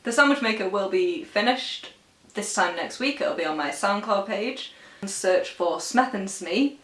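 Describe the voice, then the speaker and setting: A woman's voice: mid-range voice, animated, a little slow. A woman chatting casually as an interviewer, asking questions in an informal way. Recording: echoey room.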